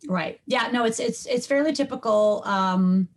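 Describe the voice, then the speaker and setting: A woman's voice: a little high, unhurried, a little hiss or hum. A woman linguistics professor answering interview questions in a firm, lecturing way.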